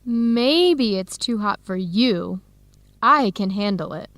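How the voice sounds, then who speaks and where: An actress playing an eager, earnest young Ethiopian-American student researcher in a radio drama for English learners, speaking clearly and in measured phrases. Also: female voice, mid-range voice, animated, fairly bright tone, no room sound. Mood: playful, hesitant. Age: young adult.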